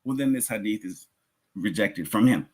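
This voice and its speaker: A man's voice: fairly low pitch, lively intonation, normal speed, a little mellow, breathy edge. A man giving a religious lecture as a teacher, conversational, posing rhetorical questions and rephrasing his points.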